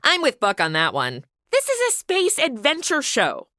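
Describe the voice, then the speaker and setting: A woman's voice: high voice, huge pitch swings, moderate pace, bright, forceful tone, dry recording, studio quality, heavily acted. A woman playing Madison, a sarcastic modern young woman who makes wisecracking asides in a comedy audio drama. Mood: snarky.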